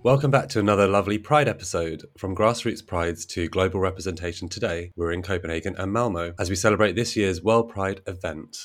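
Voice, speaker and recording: A man hosting a podcast interview, effusive and lively in his introductions. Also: low voice, a little fast, few pauses. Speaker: podcast host.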